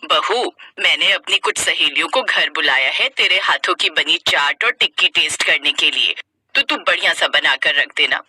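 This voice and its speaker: A woman's voice: low-pitched, expressive, moderate pace, bright, forceful tone, slightly rough, no echo, pitched below the performer's own voice, heavily acted, poor audio. A woman voicing a skeptical, exclamatory older mother-in-law in a Hindi cartoon family story, with a grumbling colloquial manner. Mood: casual, commanding. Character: stern, skeptical older mother-in-law who softens into pride.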